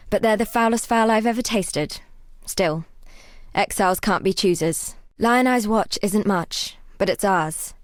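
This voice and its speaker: Actress as a solemn, weary young healer, dry recording. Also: female voice, mid-range voice, a little mellow, no echo, close to an everyday voice. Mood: wry, weary, then earnest. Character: devout, weary young woman healer, exiled on a grim coast. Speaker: non-player quest giver. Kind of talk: dramatic fantasy game dialogue.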